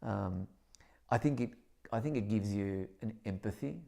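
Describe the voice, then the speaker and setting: A deep, mellow-voiced Australian man in his fifties reflects on his life as a teacher. He speaks in a measured, thoughtful way, pausing and restarting phrases as he gathers his ideas. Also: gravelly. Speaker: secondary school teacher. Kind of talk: reflective interview testimony.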